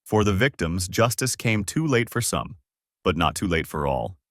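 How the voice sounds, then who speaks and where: Low-voiced male narrator, dry close sound. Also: sing-song, normal speed, fairly bright tone, dry recording. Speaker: narrator of an online story channel. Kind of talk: scripted dramatic story narration.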